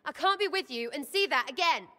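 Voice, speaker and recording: A woman's voice: high-pitched, lively intonation, fairly quick, bright, forceful tone, in a large hall. A woman playing a brash, irreverent young elf rebel in a video game, with an English accent and blunt, slangy, cheeky delivery. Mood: upset, pleading. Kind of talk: video game cutscene acting.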